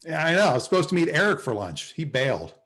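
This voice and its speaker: Man playing a flustered, animated sitcom guy. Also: a little high, fast talker. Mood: awkward banter. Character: flustered, smitten male office internet salesman. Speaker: actor in a sitcom table read. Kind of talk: sitcom table read dialogue. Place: video call at a table read.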